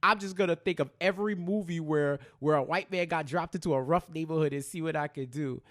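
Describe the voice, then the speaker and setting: An American man with a fairly high voice chats as a podcast co-host. He talks in an animated, slangy way, repeating phrases for emphasis and slipping into quoted dialogue as he recaps TV drama. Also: a little fast. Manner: acts out characters' lines in slangy speech, repeating phrases for emphasis. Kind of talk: casual, animated TV recap chat.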